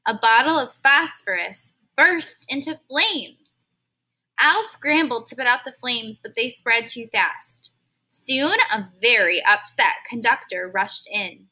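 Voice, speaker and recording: A woman reads a children's storybook aloud in a fairly high, bright, clear voice. She reads expressively and pauses to explain harder words to young listeners in plain, simple language, the way a teacher would. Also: expressive, poor audio.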